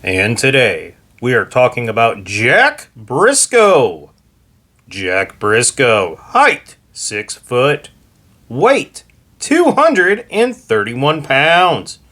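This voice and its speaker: A man reading a scripted encyclopedia entry aloud as host, with a deliberate, emphatic announcer-like delivery. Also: mid-range voice, sharp tone. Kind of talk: scripted narration, read aloud.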